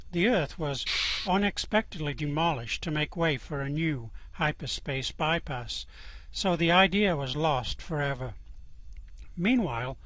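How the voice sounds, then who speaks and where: A man narrates a radio play in a scripted storytelling style. His voice sits a little high, and the sound is fairly dry. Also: a bit bright, no room sound, rough recording.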